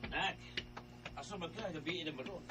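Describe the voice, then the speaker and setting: A man's voice: fairly high pitch, moderate pace, sharp tone, faint music behind. An actor with a Filipino Cebuano accent playing a loving, ailing, apologetic father in an audio drama. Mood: weary, apologetic.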